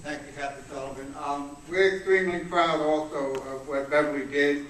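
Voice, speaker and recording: Higher-pitched man, echoey and distant. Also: steady tone, normal speed, a bit bright. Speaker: paramedic service president. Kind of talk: public commendation speech. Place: indoor public meeting room. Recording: echoey room, distant mic, faint noise.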